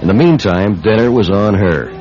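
A man's voice: lowish voice, expressive, normal speed. An actor playing an easygoing young newspaperman sleuth who narrates a radio mystery in a wry, casual way. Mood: wry narration. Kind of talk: radio mystery drama acting with first-person narration. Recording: dull radio recording with music behind.

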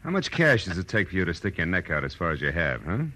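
A man's voice: low-pitched, very animated, fast talker, bright, forceful tone, husky, full character voice. A man playing a tough, wisecracking, cynical private detective in a 1940s-style radio drama, sardonic and clipped. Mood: sardonic, needling. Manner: sardonic, clipped, hard-boiled. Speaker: lead actor and narrator. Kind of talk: 1940s hard-boiled radio drama acting.

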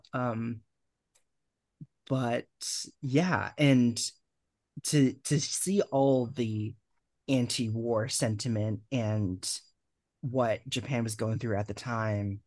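A man's voice: mid-range voice, takes it slowly, darkish tone, no echo. Male host, dry sound, thinks aloud with ums. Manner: hesitant, trailing off with ums. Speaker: podcast host. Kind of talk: casual podcast film discussion.

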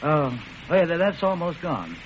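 An actor playing a dry, matter-of-fact, businesslike police inspector in an old radio drama. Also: male voice, on the high side, big pitch swings, moderate pace. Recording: muffled, hissy old radio recording.

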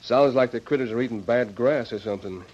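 An actor playing an earnest, loyal cowboy with folksy, plainspoken Western talk in an old-time radio drama. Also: male voice, medium pitch, brisk, a little mellow, natural voice. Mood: rueful, earnest. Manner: folksy cowboy drawl and slang. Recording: muffled vintage radio recording.

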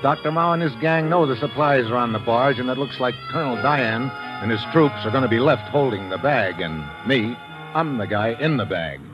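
A man's voice: medium pitch, brisk, natural voice. An actor playing a wisecracking, hard-boiled agent who narrates his own trouble in slangy 1950s radio adventure style. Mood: wry, uneasy. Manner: wry, slangy, tough-guy delivery. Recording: muffled vintage radio recording, loud music behind.

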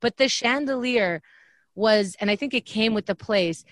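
A woman's voice: on the high side, normal speed, no echo, studio quality. A woman from Israel, raised in New York, chatting as a guest and telling stories with lots of "like". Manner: animated storytelling, lots of "like". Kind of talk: casual podcast chat.

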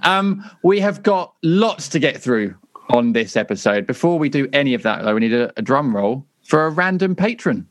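A tired man, hosting a casual podcast chat with quick asides and easy laughs. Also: mid-range voice, big pitch swings. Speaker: podcast host.

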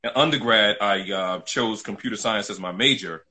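A man's voice: fairly low pitch, moderate pace, pushed and bright. A middle-aged man from New City, telling his own story casually as an interview guest, emphatic and often repeating himself. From New York City, United States.

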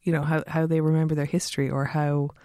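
A man's voice: on the high side, little pitch movement, fast, darkish tone, clear, resonant tone, no room sound, studio quality. A man conducting a casual interview, asking rambling, curious questions as he thinks aloud.

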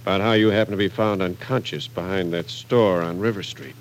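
An American actor playing a patient police captain, questioning with measured persistence in an old radio drama. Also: male voice, sits low, fairly even intonation, slight background noise, lightly acted, low-quality recording. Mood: patient, probing. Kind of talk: 1940s radio drama acting.

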